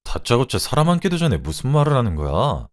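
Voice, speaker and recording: A man's voice: on the low side, sing-song, normal speed. A man acting a cheating middle-aged husband, dismissive and blustering, in a dramatized story. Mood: indignant.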